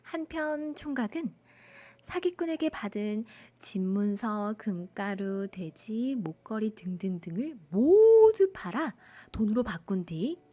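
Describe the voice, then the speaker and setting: A woman narrating a children's bedtime folktale in an expressive storytelling style. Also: mid-range voice, takes it slowly.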